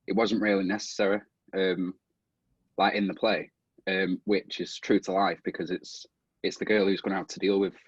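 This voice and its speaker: A man with a lowish, husky voice talks casually, thinking aloud in halting phrases. The sound is muffled, like a video call. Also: no echo. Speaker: audience member giving feedback. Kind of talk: casual spoken feedback.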